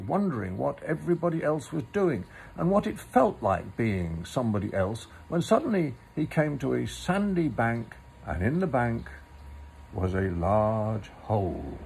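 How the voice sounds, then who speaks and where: A man reading a children's story aloud with gentle, deliberate, theatrical phrasing. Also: medium pitch, creaky, breathy edge, rough recording. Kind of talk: expressive storybook narration.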